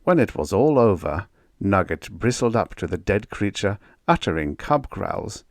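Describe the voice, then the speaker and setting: A man narrates a story for an audiobook. His voice sits fairly low and moves through a wide, animated range. The sound is dry, free of room echo. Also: measured pace, vocal fry.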